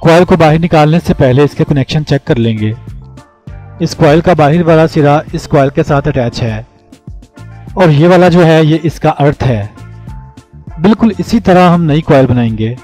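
A man's voice: on the high side, expressive, moderate pace, a little mellow. A man giving a scripted instructional voice-over as a tutorial host, explaining plainly step by step. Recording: music behind the voice. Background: background music.

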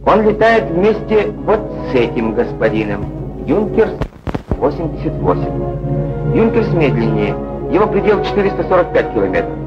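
Male 1940s newsreel narrator, highish voice, declamatory and sardonic, muffled old film sound. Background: music.

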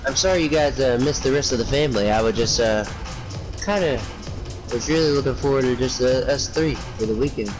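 A man playing a touchy, secretive party-loving uncle with halting, moody speech in a game roleplay. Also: medium pitch, over music, lightly acted, low-quality recording. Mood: evasive.

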